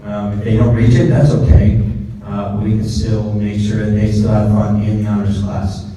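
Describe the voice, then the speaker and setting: A man, a teacher, giving an informal and easygoing presentation with halting delivery. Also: lowish voice, little pitch movement. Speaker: middle school social studies teacher. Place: large echoing indoor room with ambient noise. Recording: echoey hall from far off, faint noise.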